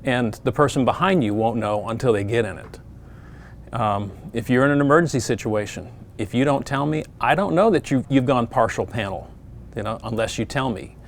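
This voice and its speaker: A man's voice: medium pitch, expressive, moderate pace, a little hiss or hum. Veteran controller man, plain practical advice. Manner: plain, practical, frequent fillers. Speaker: air traffic controller. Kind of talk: explaining procedures to pilots.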